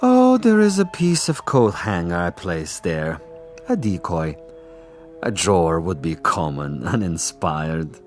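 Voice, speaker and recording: A cold, sadistic commissar in a radio drama, with a very low voice and slow, savoring delivery. He is pompous and gloating, a self-satisfied master of deadly games. Music plays underneath. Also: sing-song, full character voice. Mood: coolly superior. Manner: pompous, gloating, theatrically deliberate.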